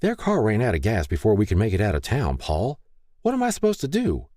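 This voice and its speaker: A man's voice: medium pitch, huge pitch swings, normal speed, warm tone, slightly rough, no room sound, studio quality, pitched above the performer's own voice. A man voicing a kindly, candid older small-town wife in an audiobook. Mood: pleading, indignant. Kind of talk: audiobook character dialogue.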